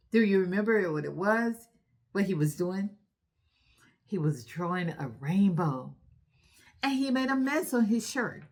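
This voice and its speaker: A woman hosts a read-aloud for young children at a slow, deliberate pace. She recaps the story in an instructive, encouraging manner. She often checks in with her little listeners by asking them questions. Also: mid-range voice, fairly bright tone.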